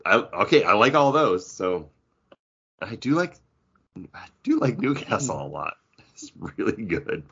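Lively husky man with bright edge. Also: fairly low pitch, a little slow, lo-fi sound. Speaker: podcast host. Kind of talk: casual, joking chat.

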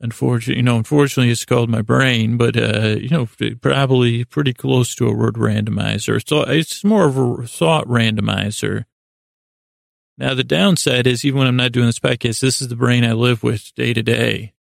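A man with a gravelly voice hosting a sleep podcast in a rambling, hesitant, self-deprecating monologue that keeps drifting into tangents. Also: medium pitch, fairly quick, dry recording, studio quality. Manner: meandering, hesitant, with self-deprecating asides and acted-out imaginary dialogue.

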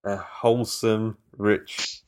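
A man's voice: on the low side, flat delivery, slow, clear, resonant tone. A man hosting a horror film podcast, chatting casually with his co-host and often trailing off mid-sentence. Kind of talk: casual chat.

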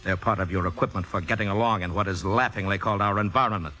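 An actress's blunt boyfriend in an old radio play. His voice is on the low side, with dry, articulate exasperation and a wry edge. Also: fast, pushed and bright. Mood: exasperated. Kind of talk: 1950s radio drama acting.

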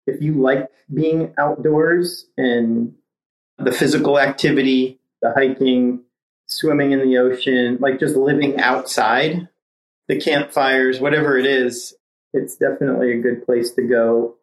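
A man talking as a podcast guest in a relaxed, easygoing way. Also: mid-range voice, unhurried. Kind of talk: casual conversation. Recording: echoey room.

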